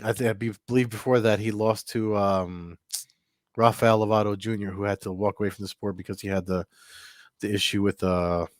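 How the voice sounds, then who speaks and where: A man's voice: fairly low pitch, no room sound, studio quality. A man hosting a casual sports news video, slightly congested from a cold and rambling with lots of fillers. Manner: rambling, many fillers and restarts. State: congested, getting over a cold. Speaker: MMA news host. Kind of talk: casual solo commentary to camera. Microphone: camera mic.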